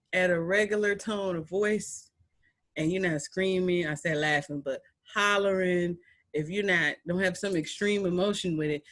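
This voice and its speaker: A young woman with a deep voice hosting a podcast, chatting in an animated, emphatic way with playful repetition. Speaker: podcast host. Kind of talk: casual chat.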